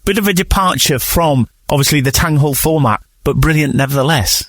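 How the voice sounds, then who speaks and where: A man's voice: a little high, brisk, a bit bright, no echo, studio quality. A man presenting a radio programme, introducing guests and putting questions to them in an interview style.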